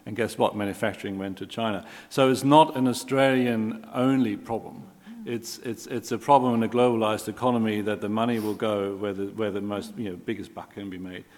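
A German man with a fairly low voice makes his case on a public panel, emphatic and a touch ironic. His voice rings with a long hall reverb. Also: steady tone, a little fast, hardly any pauses. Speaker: panel speaker. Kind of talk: panel discussion.

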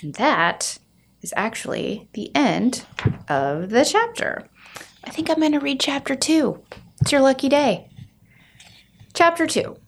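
A woman's voice: high voice, big pitch swings, a little slow, pauses often, slight background noise. Woman expressively reading a children's story. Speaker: reader of a children's book. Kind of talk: expressive storybook read-aloud.

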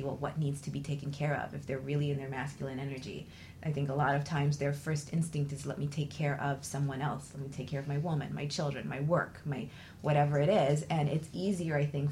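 A woman with a low, gravelly-edged voice hosts a conversational radio show. She talks in a reflective, explanatory way, checking in as she goes. A faint noise sits under the recording. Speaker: talk show host.

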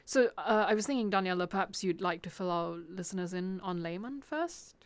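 A woman's voice: lowish voice, animated, a little fast, clean tone, no room sound. Hesitant woman co-host, dry recording. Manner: hesitant, with fillers. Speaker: podcast co-host and pianist. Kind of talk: casual podcast chat.

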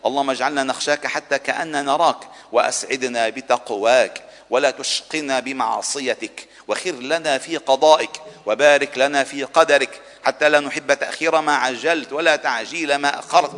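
A man's voice: mid-range voice, steady tone, fairly quick, a bit bright. Man preaching solemnly in a long-reverb hall. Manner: solemn, formal rhetorical cadence, insistent repeated pleas. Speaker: mosque preacher, imam. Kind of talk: Arabic Friday sermon and supplication.

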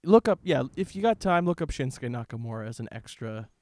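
A man's voice: mid-range voice, very animated, brisk, a little mellow, dry recording. Jokey male podcast host, dry close sound. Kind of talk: casual banter between co-hosts.

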